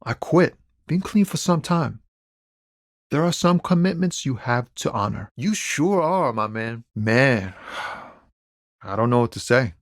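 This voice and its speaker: Game role: easygoing trucker-poet, mid-pitched, wry and musing, slangy, close dry recording. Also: male voice, animated, slow, dry recording. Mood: weary, earnest. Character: laid-back male lorry driver, wry amateur poet, stranded and philosophical. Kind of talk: video game character dialogue.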